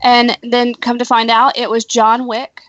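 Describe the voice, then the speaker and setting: A woman's voice: fairly high pitch, completely flat delivery, measured pace, fairly bright tone, dry recording, muffled recording. A woman co-hosting a live talk show, telling a story in an easygoing, matter-of-fact way. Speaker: co-host. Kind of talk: casual chat.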